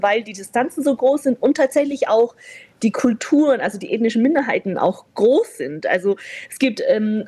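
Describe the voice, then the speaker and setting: A woman's voice: on the high side, a little mellow, slightly rough. A woman from Beijing and Vienna, a native Mandarin speaker, talking as an interview guest in a casual, explanatory way. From born in Beijing, grew up in Vienna from age seven. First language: Mandarin Chinese. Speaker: interview guest, food tour guide.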